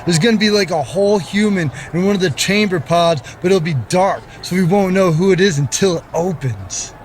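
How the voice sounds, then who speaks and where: A man acting as a spacey, easygoing wrestler dude in a game. His voice is high for a man, his talk slangy and earnestly dim, with faint noise behind him. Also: moderate pace, natural voice. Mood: excited, curious. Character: goofy, spacey, laid-back dude wrestler. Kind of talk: video game cutscene dialogue.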